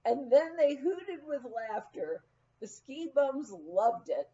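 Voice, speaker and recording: A woman reading a humorous memoir aloud as an expressive, animated storyteller, acting out the dialogue. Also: sits high, slow, lo-fi sound. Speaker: audiobook reader.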